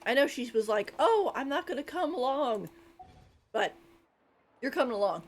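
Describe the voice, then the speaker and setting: Casting: playful woman streamer, slow relaxed pace, lively high intonation, casual game commentary. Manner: giggly, playful. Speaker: game livestreamer.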